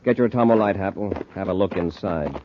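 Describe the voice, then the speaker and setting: Actor as low-voiced commanding space patrol commander. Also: male voice, brisk, close to an everyday voice. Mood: brisk, steady. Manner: authoritative. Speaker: radio drama actor. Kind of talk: mid-century radio adventure acting. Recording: noisy, muffled old radio recording.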